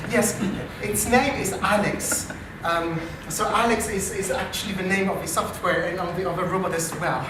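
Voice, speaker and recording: A man with a French accent addresses a live audience at an unhurried pace, dry and self-deprecating. He sounds far from the mic, in a very echoey room. There is a constant layer of noise behind him. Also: high-pitched. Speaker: AI researcher and improv performer. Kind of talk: live comedy stage talk. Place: live comedy meetup venue. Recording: distant, very echoey live venue.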